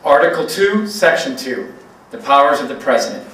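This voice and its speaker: A man's voice: medium pitch, sing-song, normal speed, sharp tone. A man giving a formal public reading of a legal document as a guest speaker. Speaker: invited guest reader, government attorney. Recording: echoey room, distant mic.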